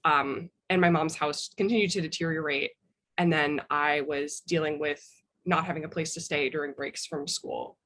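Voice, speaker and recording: A young American woman answers as a podcast guest, recounting personal experience. Her voice sits on the low side for a woman. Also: steady tone, normal speed, fairly bright tone. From Minnesota, United States. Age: young adult.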